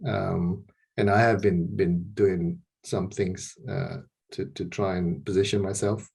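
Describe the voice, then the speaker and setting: A middle-aged man from Malaysia speaks over a video call from a bright room at home, in a fairly low voice. He talks hesitantly, restarting and repeating words as he gathers his thoughts, with a soft laugh now and then. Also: level tone, unhurried, vocal fry, slightly rough. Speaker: interview guest, street photographer.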